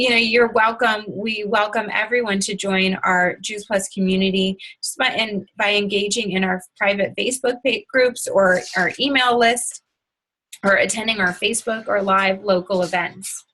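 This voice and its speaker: A woman presenting a product webinar over slides, in an upbeat sales-pitch manner, often opening sentences with "so" and using small fillers. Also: fairly bright tone, poor audio.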